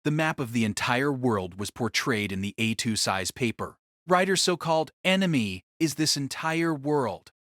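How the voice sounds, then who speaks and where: A man's voice: medium pitch, expressive, normal speed, a bit bright, no room sound, studio quality. A man reading dramatic scripted story narration for an audio drama.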